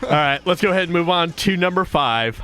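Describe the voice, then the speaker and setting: Upbeat guy in his 30s hosting a music countdown, bright tense voice, a bit high, music under it. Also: expressive, brisk. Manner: upbeat, laughs often, reads chart facts. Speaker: radio show host. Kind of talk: casual radio-style countdown chat.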